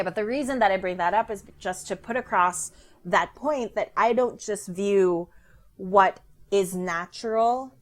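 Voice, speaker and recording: Rambling young woman. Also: on the low side. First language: Filipino. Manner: rambling, chatty, with joking asides. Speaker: vlogger. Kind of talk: casual commentary vlog.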